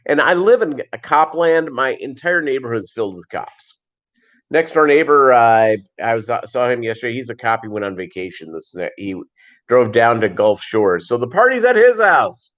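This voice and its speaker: A middle-aged man chatting casually as a livestream host, in a rambling, halting way that often repeats phrases. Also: medium pitch, big pitch swings, fairly bright tone. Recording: dull lo-fi livestream audio.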